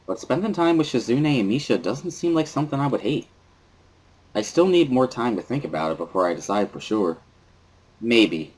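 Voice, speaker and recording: A man's voice: mid-range voice, big pitch swings, moderate pace, a little mellow, slightly rough, low-quality recording. A man playing a thoughtful, wry teenage schoolboy who narrates his own story in a visual novel dramatic reading. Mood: hesitant, musing.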